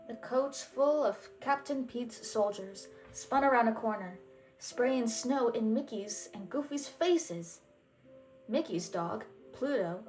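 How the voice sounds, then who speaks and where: A woman's voice: fairly high pitch, poor audio. A woman narrating a children's storybook as a read-aloud. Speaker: storybook reader.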